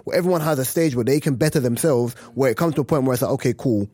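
A man co-hosting a casual podcast, talking in an emphatic, animated way. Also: medium pitch, very fast, slightly rough, no echo, studio quality. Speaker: podcast co-host.

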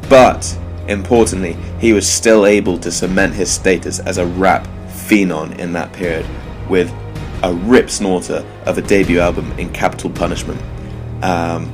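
A British man hosting a solo music commentary show, talking in a wry, digressive way with jokey asides. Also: deep voice. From United Kingdom. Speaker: podcast host.

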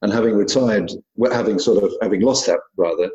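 A man's voice: on the low side, brisk, warm tone, roomy sound, muffled recording. A British man talking squash in a casual chat, rambling with many fillers and restarts. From Britain.

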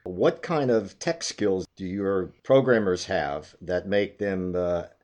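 A man's voice: low voice, takes it slowly, a little mellow. A man asking structured questions as the interviewer in a business interview.

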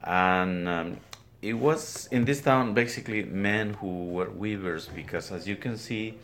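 An older man with a Guatemalan Spanish accent recounts his life as a weaver in an interview, speaking plainly and haltingly in his studio. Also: on the low side, fairly bright tone, a little hiss or hum. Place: weaving studio, indoors.

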